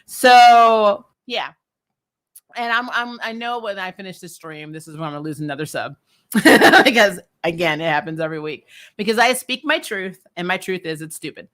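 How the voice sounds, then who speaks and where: A woman's voice: fairly low pitch, normal speed, a bit bright. A woman giving a casual, opinionated recap to livestream viewers, speaking bluntly with swearing and often laughing as she talks. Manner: laughs often while talking. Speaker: host of a comics TV recap show.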